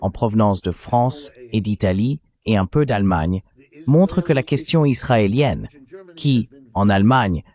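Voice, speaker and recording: A man's synthetic dubbing voice reading political commentary in a measured, articulate way. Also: on the low side, a little mellow. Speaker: voice-over translator for a panelist.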